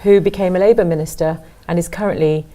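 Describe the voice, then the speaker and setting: A woman's voice: lowish voice, fairly even intonation, fast, warm tone, low-quality recording. A woman hosting an interview show, welcoming her guest and asking questions. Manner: welcoming, brief acknowledgements. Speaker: show host.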